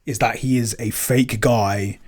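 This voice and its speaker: A man chatting casually as a podcast writer in a Q&A, speaking in a halting, hesitant way with stammered word starts. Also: mid-range voice, fairly even intonation, a little mellow. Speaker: podcast writer and co-creator answering listener questions. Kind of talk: casual Q&A chat.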